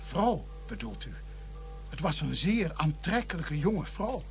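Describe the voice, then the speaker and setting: An actor playing a fussy, scatterbrained village vicar in a Dutch radio play, hurried and dithering, often repeating himself. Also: male voice, a little high, big pitch swings, faint music behind. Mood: chatty, self-important.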